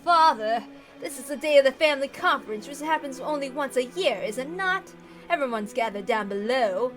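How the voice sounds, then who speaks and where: A woman's voice: high voice, animated, normal speed, faint music behind. A woman voicing a middle-aged eldest son, resigned and dutiful, with polite formal speech in a visual novel reading. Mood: pleading.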